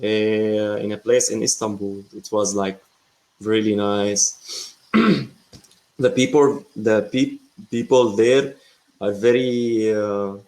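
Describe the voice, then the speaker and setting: A man's voice: lowish voice, steady tone, slow pace, a little mellow, rough recording. A young man from Syria, speaking English, who chats hesitantly on a video call with many fillers and restarts. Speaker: English learner. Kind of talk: casual video chat.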